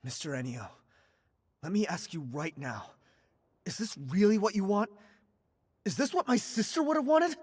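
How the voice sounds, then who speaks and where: An actor playing a grieving young man in a game cutscene, his voice swinging between hushed and raised. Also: high-pitched, animated, moderate pace, pauses often, breathy edge, long reverb tail. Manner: emotional, swinging from hushed to shouting.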